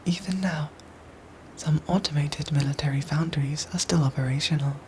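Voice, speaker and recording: A woman's voice: low-pitched, fairly even intonation, a little hiss or hum, natural voice, low-quality recording. Whispering female android soldier, acted by a woman. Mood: grave, gentle. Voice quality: soft, breathy whisper. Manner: formal, precise, measured robotic phrasing. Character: protective android robot soldier, female, formal and caring. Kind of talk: whispered ASMR roleplay acting.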